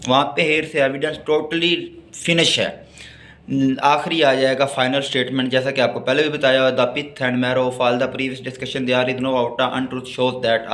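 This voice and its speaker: A man's voice: mid-range voice, fairly bright tone. A man with a Pakistani accent lecturing on law, mixing Urdu and English as he reads out and explains.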